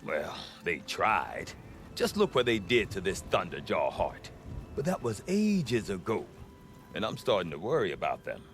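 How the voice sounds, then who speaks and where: Wily, sentimental male merchant for a fantasy game: lowish pitch, very expressive, wry self-justifier. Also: measured pace, faint music behind, exaggerated voice. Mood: defensive, wry. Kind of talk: video game cutscene acting.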